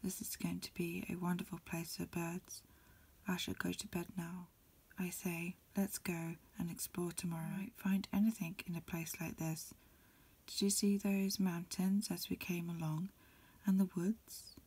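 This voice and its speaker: A woman reading a storybook in a breathy ASMR whisper, softly and unhurriedly, phrase by phrase. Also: on the low side, little pitch movement, unhurried. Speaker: amateur reader. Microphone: lo-fi home recording.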